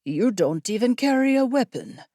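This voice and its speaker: Woman voicing a gruff, blunt desert guide woman. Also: fairly high pitch, sing-song, normal speed, dark tone, no echo, voice pushed up. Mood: scornful. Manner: blunt, dry, condescending. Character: gruff, scowling tall desert guide woman, stern and unapologetic. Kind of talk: audiobook character voice.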